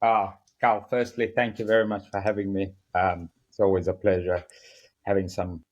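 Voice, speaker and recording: A man's voice: low voice, little pitch movement, normal speed, lo-fi sound. A man from South Africa with a South African accent, a rugby coach talking reflectively as a podcast guest, often repeating words and laughing. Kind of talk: podcast interview.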